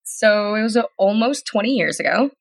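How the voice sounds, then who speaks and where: A woman's voice: medium pitch, animated, normal speed, creaky, no room sound. A woman in her thirties, a podcast guest, telling stories in a casual, chatty way. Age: 30s. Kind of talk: casual interview conversation.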